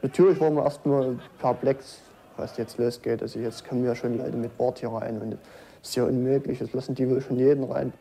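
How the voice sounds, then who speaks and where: A man's voice: mid-range voice, steady tone, a little fast, vocal fry, mellow, slight background noise. A man from East Germany speaking colloquially and defensively in a spontaneous interview. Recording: dull, muffled lo-fi tape.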